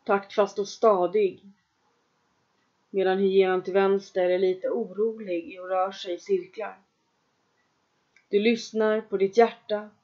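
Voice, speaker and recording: A woman with a mid-range voice guides listeners through a trance meditation. She speaks in calm, measured second-person instructions and leaves long pauses between lines. The recording sounds dull and lo-fi. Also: medium pitch.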